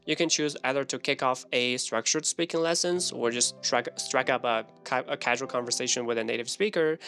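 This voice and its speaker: A man's voice: mid-range voice, fairly bright tone. A man whose first language is Chinese, talking earnestly to camera about learning English and laughing now and then between points. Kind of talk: casual scripted talk to camera.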